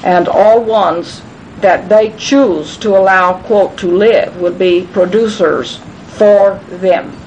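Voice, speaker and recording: A woman's voice: takes it slowly, a little mellow, rough recording. A woman giving a rambling, emphatic conspiratorial talk, answering questions at a gathering, repeating phrases and restarting sentences. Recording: low rumble noise in background.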